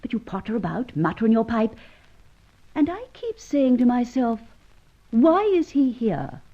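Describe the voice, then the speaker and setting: A woman's voice: a little high, big pitch swings, measured pace, highs cut off. An actress playing a cool, haughty, guarded woman in a radio drama, speaking formally and precisely. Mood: suspicious, pressing. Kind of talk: old-time radio drama acting.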